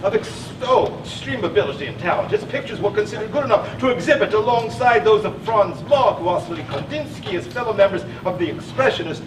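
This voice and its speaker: A man's voice: high voice, lively intonation, brisk, hardly any pauses. A man acting in a stage play as a talkative, needling know-it-all who lectures and argues insistently. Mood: smug, amused. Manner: pedantic, argumentative, insistent. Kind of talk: live one-act stage play acting. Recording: echoey stage from far off, noisy audience.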